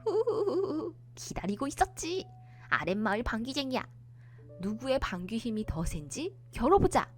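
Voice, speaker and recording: A woman's voice: on the high side, very animated, moderate pace, faint music behind. A woman voicing a mischievous, cackling braggart from a children's folktale, giggly and taunting with exclamations. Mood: gleeful taunting.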